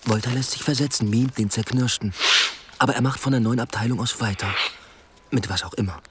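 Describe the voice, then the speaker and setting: An actor playing a sardonic, driven police inspector in a radio play, speaking in dry, clipped lines. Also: male voice, medium pitch, brisk, slight background noise, lightly acted. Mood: brooding. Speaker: police detective, lead character. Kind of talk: radio play dialogue and inner monologue. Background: music.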